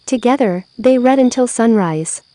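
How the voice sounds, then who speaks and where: A woman reads a children's storybook in an expressive, carefully phrased way. Her voice sits a little high yet has a very mellow, rounded tone. The recording is dry, with hardly any room around her. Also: normal speed, no room sound. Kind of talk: scripted storybook narration read aloud.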